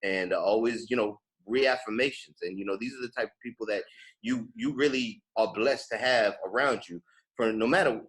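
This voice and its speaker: A man's voice: fairly low pitch, moderate pace, fairly bright tone, low-quality recording. A man hosting a casual podcast interview, effusive and slangy in the way he talks. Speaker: podcast host.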